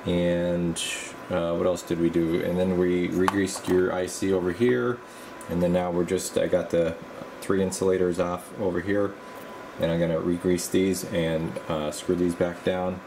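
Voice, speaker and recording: A man working as a repair technician gives a casual hands-on walkthrough, halting and thinking aloud as he goes. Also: deep voice, little pitch movement, background noise. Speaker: radio repair technician presenting his work. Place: indoor electronics workbench.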